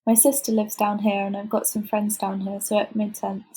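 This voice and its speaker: A young woman in her twenties speaks in a relaxed, unhurried way. Her voice is very husky and breathy, with a dark, mellow tone at a middling pitch. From Norfolk, England. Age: 20s. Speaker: podcast guest, master's student. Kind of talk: casual chat.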